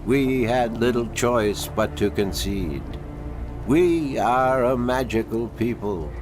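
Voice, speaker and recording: A man voicing an ancient, wise being of a magical people in a fantasy game, speaking in a formal, deliberate, solemn way. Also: medium pitch, over music, lightly acted. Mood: solemn, earnest. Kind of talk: fantasy game character dialogue.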